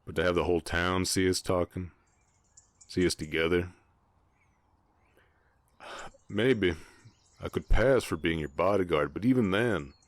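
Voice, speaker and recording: A very deep man's performance of an orc ranch hand speaks with an American Southern drawl in a western romance audio drama. He is gruff yet gentle, shy and protective, often halting over his words. The sound is dry and intimate. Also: no room sound, close to an everyday voice. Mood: hesitant. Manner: bashful, halting, softly protective.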